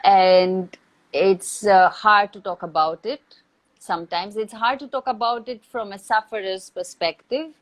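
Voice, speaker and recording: A woman who is not a native English speaker, giving reflective advice in a thoughtful, measured way with deliberate pauses. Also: mid-range voice. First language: not English or Hindi. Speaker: acting teacher, interview guest. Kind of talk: reflective advice in a live online Q&A.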